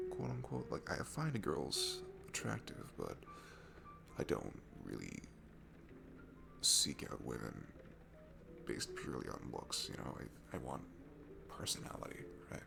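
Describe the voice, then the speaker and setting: Shy, insecure guy at a bar, played by a man: gravelly, animated, halting and awkward, intimate ASMR roleplay with music behind him. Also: very deep voice, exaggerated voice. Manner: nervous, halting, rambling, apologetic with awkward laughter.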